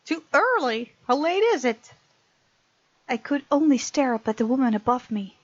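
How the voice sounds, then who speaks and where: A woman's voice: sits high, animated, normal speed, no echo. A woman hosting a game playthrough, reading visual novel narration aloud and adding her own commentary. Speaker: game let's-play host. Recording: dull sound, music behind. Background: game music.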